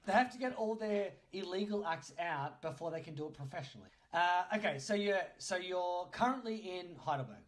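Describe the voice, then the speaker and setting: A man's voice: sits high, lively intonation, a little fast, few pauses. Aussie guy podcast host, jokey and teasing, voice goes up and down a lot. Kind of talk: casual quick-fire interview chat.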